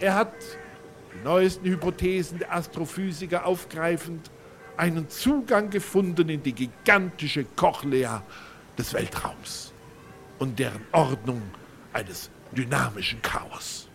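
An actor playing a solemn, pompous eulogist in an audio drama, speaking in grave, elevated, deliberate phrases. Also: male voice, a little high, creaky, fairly bright tone, faint music behind. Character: solemn, pompous male eulogist musing on the dead.

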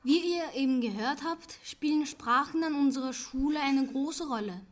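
A young teenage girl reading a scripted announcement for a school radio piece in a measured, read-aloud way. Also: high voice. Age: young teen.

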